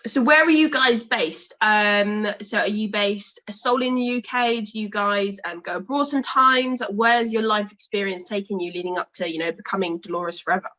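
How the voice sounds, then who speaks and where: Bright chatty woman interviewer. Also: medium pitch, normal speed, hardly any pauses. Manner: chatty, rambling questions with fillers. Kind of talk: casual interview questions.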